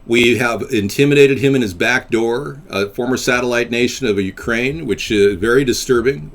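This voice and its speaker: A man hosting a casual call-in web show, rambling and crude, calling people buddy. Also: medium pitch. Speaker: host and presidential candidate.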